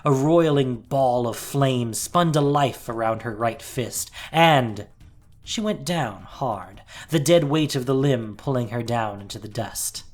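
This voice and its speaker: Very low-voiced woman narrating dramatic fiction. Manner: deliberate, theatrical, intense.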